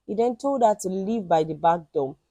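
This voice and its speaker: A woman telling a folktale in an expressive, emphatic and dramatic storytelling style. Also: medium pitch, moderate pace, no echo.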